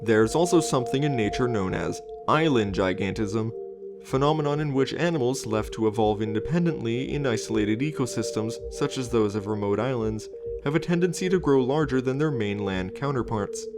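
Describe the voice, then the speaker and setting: A man's voice: mid-range voice, normal speed. A man hosting and narrating a scripted informational documentary. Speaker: host and narrator. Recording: music under the voice. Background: background music.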